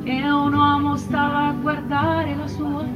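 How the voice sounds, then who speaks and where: A woman singing a slow folk ballad over guitar in a theatre company. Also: high voice, monotone. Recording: dull lo-fi, loud guitar accompaniment.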